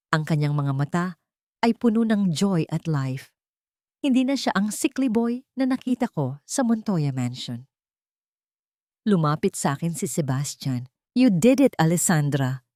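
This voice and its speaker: A low-voiced woman with a Filipino accent reads scripted first-person drama narration. The recording is dry and close, with no room sound around her. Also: darkish tone, dry recording.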